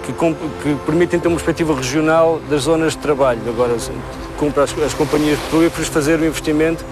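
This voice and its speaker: A man with a European Portuguese accent giving halting, technical explanations as an expert in an interview. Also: on the high side, fairly even intonation, music in the background. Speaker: marine survey expert being interviewed.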